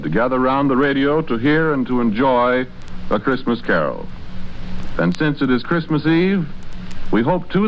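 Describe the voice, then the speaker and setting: A man's voice: medium pitch, slight background noise. A man announcing a sponsor message in polished, courteous, earnest 1940s radio style. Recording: muffled old radio broadcast with faint music.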